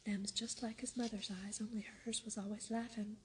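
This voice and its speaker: A woman's voice: mid-range voice, little pitch movement, normal speed, a little hiss or hum, lightly acted. A woman whispering as Dickon, a kind Yorkshire farm boy. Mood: gentle. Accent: Yorkshire dialect. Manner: whispered, gentle.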